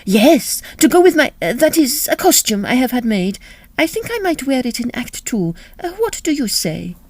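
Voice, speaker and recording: A woman playing a lively, theatrical prima donna on tour in a period farce audio drama. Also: high voice, normal speed, no room sound, exaggerated voice. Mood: bright, fussy. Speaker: actor and narrator.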